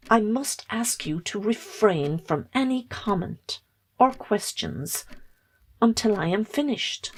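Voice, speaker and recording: Stern, slow-speaking woman lawyer acted. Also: mid-range voice, full character voice. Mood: firm. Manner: formal, deliberate, authoritative. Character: stern, formal woman lawyer questioning a witness. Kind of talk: amateur recorded stage drama acting.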